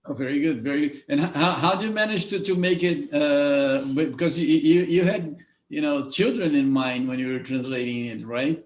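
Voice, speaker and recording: A man's voice: a little high, normal speed, echoey room. A man with a Brazilian Portuguese accent, a native Portuguese speaker, interviewing a guest in a casual video call, often repeating his words. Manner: repeats words, stumbles, friendly.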